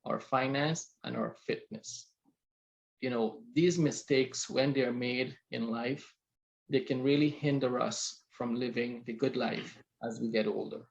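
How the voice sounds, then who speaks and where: A man hosts a casual interview show, speaking sincerely but haltingly and often repeating himself. His voice is gravelly with a lot of fry. The recording sounds dull and muffled. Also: mid-range voice. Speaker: podcast host. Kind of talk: casual interview, introducing a guest.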